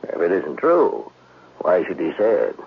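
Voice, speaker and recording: An actor in a 1970s radio drama playing a dogged police detective with a gravelly, husky voice and a blunt, probing manner. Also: male voice, low voice, wildly swooping pitch, unhurried, a little hiss or hum, heavily acted. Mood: pressing. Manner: blunt, probing, hesitant starts. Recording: thin, phone-like vintage radio recording.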